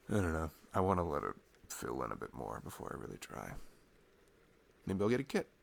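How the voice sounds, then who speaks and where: A man's voice: low-pitched, creaky, full character voice. A young man playing a sweet, playful boyfriend in an ASMR roleplay, chatting tenderly and casually in a rambling, teasing way. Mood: teasing, amused. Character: sweet, playful, affectionate boyfriend cuddling his partner. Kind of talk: ASMR boyfriend roleplay, improvised intimate chat.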